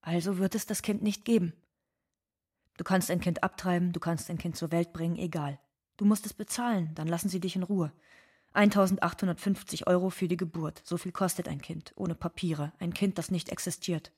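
A woman playing a stoic, bitter undocumented immigrant from Ecuador, speaking in deliberate, restrained radio drama monologue. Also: on the low side, fairly even intonation, a little mellow, slightly rough. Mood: stoic, sad.

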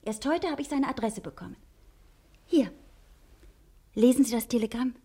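An actress playing a self-assured, determined wife in a crime serial, brisk, sharp-tongued and teasing. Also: female voice, on the high side, breathy edge. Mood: indignant, insistent. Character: self-assured, determined wife hunting her fugitive husband.

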